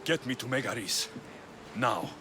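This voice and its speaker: An actor playing a young, stoic and haunted mercenary hero in a video game, speaking tersely. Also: male voice, animated, measured pace, natural voice. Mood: grim, hushed. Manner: terse, low-key. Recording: noisy game audio with ambient background.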